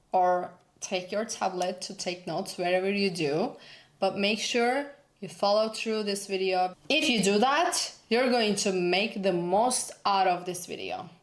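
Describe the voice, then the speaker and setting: Slow, earnest woman with a Turkish accent. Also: medium pitch, echoey room. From Turkey. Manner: earnest, emphatic, repeats for emphasis. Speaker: personal branding coach. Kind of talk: motivational talk to camera.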